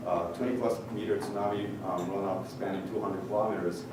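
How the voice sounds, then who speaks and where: A middle-aged Japanese man speaking English with a Japanese accent, giving a measured technical conference talk with frequent "uh" pauses and a touch of dry humor. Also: on the low side, steady tone. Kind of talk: presentation talk with slides. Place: large conference hall. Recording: echoey hall picked up from far off with noise.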